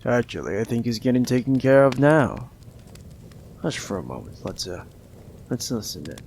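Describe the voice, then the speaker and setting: Man as possessive mob boss, gravelly, slow, animated pitch, cold whispered menace, roleplay audio. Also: background noise. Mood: menacing calm. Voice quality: gravelly, often dropping to a whisper. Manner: slow, taunting, coldly affectionate.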